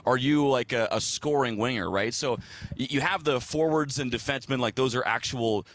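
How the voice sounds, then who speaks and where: A man's voice: medium pitch, moderate pace, a bit bright. A man talking as a guest sports broadcaster, explaining hockey analysis in a casual, conversational way. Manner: explanatory, casual, checks in with "right?".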